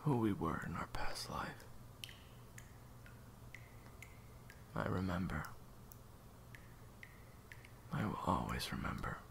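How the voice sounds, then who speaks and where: A man's voice: low-pitched, very animated, a little fast, gravelly, fairly bright tone, slight background noise, full character voice. A man playing a weary, conflicted assassin, cold but hurting, in an audio roleplay drama. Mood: weary, resigned.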